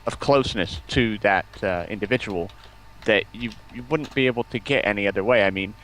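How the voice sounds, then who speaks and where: A man chatting casually on a stream, halting and often repeating his words. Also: on the low side, a bit bright, slight background noise, low-quality recording.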